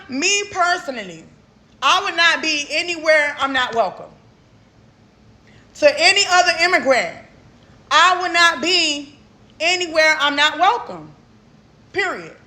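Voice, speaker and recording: American woman, really high bright voice, cussing and venting, blunt. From United States. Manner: blunt, profane, exasperated. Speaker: social media commentator. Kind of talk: casual ranting monologue.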